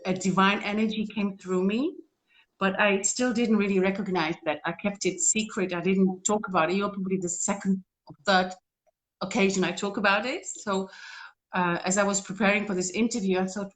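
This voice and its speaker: A woman's voice: mid-range voice, rough recording. A woman, a guest on a video call, talking earnestly and rambling with frequent restarts. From Czech Republic. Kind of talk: casual interview talk.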